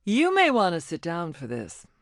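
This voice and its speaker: A woman voicing a self-important, melodramatic gem informant in an animated game, pompous and showy. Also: on the low side, normal speed, gravelly, dry recording, studio quality, exaggerated voice.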